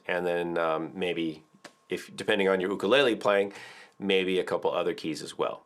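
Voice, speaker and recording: A middle-aged man giving a relaxed ukulele lesson to camera in an indoor studio, chatty and full of filler words. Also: low voice. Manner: chatty, explains with filler words and counts aloud. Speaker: ukulele teacher. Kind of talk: relaxed video tutorial.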